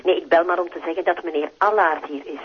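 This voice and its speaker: An actress playing a chirpy, brisk policewoman heard through a telephone filter in an audio drama. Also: fairly low pitch, steady tone, breathy edge. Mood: cheerful, brisk. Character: chirpy, brisk young policewoman reporting to her boss. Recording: dull telephone line, faint noise.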